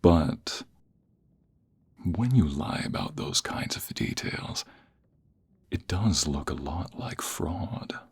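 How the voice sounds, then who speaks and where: A man playing a smug, flirtatious office rival in an intimate ASMR roleplay, taunting in a deep, breathy, gravelly near-whisper. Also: expressive, full character voice. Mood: challenging, sly. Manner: slow, deliberate, taunting, drawn-out phrases. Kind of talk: ASMR romantic roleplay, intimate second-person acting.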